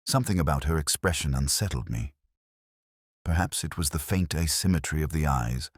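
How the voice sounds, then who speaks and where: Male actor as melancholy older detective narrator for radio mystery. Very low, dry close sound, deliberate, with lively melodic movement. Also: no room sound, exaggerated voice. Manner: measured, brooding, deliberate. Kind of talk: scripted literary mystery narration, radio drama style.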